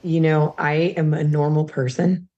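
A hoarse woman in her thirties or forties, a guest chatting in a rambling way about her work in sales. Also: deep voice, little pitch movement, a little slow, warm tone, lo-fi sound. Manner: chatty, rambling, many restarts. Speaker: guest, supplement company sales rep. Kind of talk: casual interview chat.

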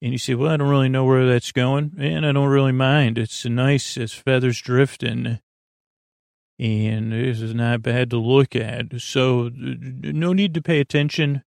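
A man hosting a sleep podcast, with a creaky, soothing voice, rambling and often losing his thread. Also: medium pitch, fairly even intonation, measured pace, darkish tone, dry recording, studio quality. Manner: meandering, loses his thread, many fillers. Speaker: podcast host.

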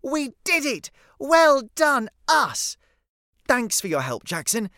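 A storyteller voicing an excitable, determined young girl in a children's story. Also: mid-range voice, moderate pace, no echo, clean studio sound, pitched above the performer's own voice. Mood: delighted.

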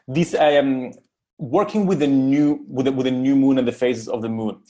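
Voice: medium pitch, normal speed, warm tone. An interview host chatting casually and guiding a guest from one topic to the next.

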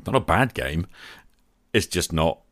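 A man's voice: deep voice, very animated, a little slow, a bit bright, dry recording, clean studio sound. A middle-aged man hosting a podcast, talking reflectively and gratefully as he replies to listeners. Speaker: podcast host. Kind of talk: solo podcast talk replying to listener calls.

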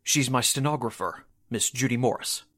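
A man acting a businesslike, anxious radio producer in a 1940s-style radio drama, polite and brisk. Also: medium pitch, fairly bright tone, slightly rough. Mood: polite, uneasy.

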